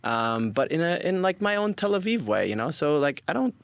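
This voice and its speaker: A man's voice: on the high side, big pitch swings, a little fast, gravelly, clean tone, no echo. A forty-year-old man speaking casually as an interview guest, earnest and emphatic, repeating words and acting out quoted dialogue. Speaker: interview guest, influencer marketing company founder.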